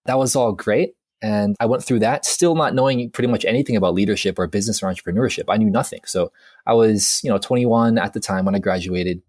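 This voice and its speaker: A young man from Hawaii with a low voice tells his story in a loose, rambling way, full of fillers and self-deprecating asides. The recording is dry and close, with no room sound. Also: fast, a little mellow, no echo. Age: 20s. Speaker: guest, mindset coach. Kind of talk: casual interview chat.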